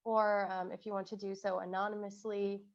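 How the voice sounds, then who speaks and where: A woman's voice: medium pitch, little pitch movement, normal speed, muffled recording. A woman working as a naturopathic doctor, speaking over a video call with a cold, friendly and informal as she introduces herself. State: has a cold. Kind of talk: casual video call talk.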